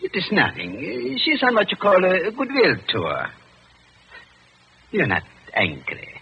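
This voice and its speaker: An actor playing an older German doctor in a radio drama, genial but evasive and nervous, with a halting, repetitive way of talking and a German accent. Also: male voice, sits high, wildly swooping pitch, creaky, full character voice. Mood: placating, evasive. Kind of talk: old-time radio drama acting. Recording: muffled, noisy old radio broadcast.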